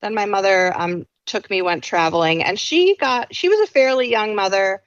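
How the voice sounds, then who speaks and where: A woman's voice: mid-range voice, expressive, normal speed, dry recording. A woman with a New York accent, a guest author telling her life story in a reflective, meandering way with plenty of fillers. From raised in New York. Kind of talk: casual interview talk. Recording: dull lo-fi dry sound.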